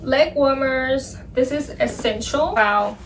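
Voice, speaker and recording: A Vietnamese woman in her twenties, chatting excitedly and poking fun at herself while packing at home, filmed on a phone. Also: high-pitched, slow pace, slight background noise. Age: 20s. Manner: chatty, excitable and self-mocking. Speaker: vlogger. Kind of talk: casual vlog chat.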